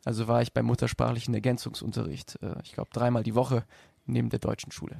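Man, gravelly voice, dry studio sound, relaxed storytelling, radio guest. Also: mid-range voice, fast, no room sound. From Germany. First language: German. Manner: relaxed storytelling with fillers, switching between German and Italian. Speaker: guest singer. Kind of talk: casual radio interview.

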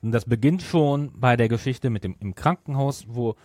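A man's voice: medium pitch, normal speed, darkish tone, no echo, clean studio sound. A man co-hosting a podcast, giving his opinion in a casual review discussion. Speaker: podcast co-host.

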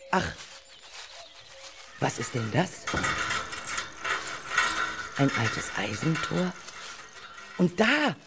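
Woman acting as caring granny in a kids audio play, talks slow and lively, curious about everything. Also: fairly low pitch, slight background noise. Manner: deliberate, expressive, talks to herself. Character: curious, caring grandmother and teacher searching for her pupils. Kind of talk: children's amateur audio drama acting.

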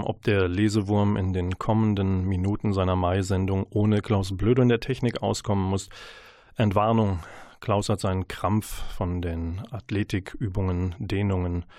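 Low-voiced man presenting books, close and dry. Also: a little mellow, dry recording. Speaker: radio book show presenter. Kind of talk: conversational book review presentation.

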